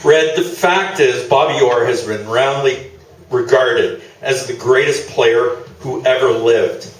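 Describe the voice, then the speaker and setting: A man's voice: mid-range voice, slow pace, natural voice. Man acting a dry sardonic interviewer, echoey room. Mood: challenging. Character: skeptical, needling male sports interviewer. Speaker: radio play actor. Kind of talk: comic radio play performed live on stage. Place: small theatre, live performance. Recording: echoey theatre, distant mic, noisy.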